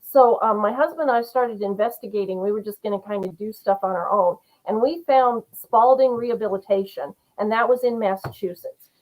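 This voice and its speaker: Woman, author guest, earnest, reflective, casual interview, middle pitch. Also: brisk, a little mellow, rough recording. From Rhode Island, USA. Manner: earnest, reflective, frequent mm-hmms.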